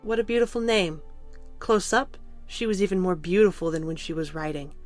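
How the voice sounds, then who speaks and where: Female storybook narrator for an audio drama, quite deep voice, light music underneath. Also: fairly even intonation, brisk, darkish tone.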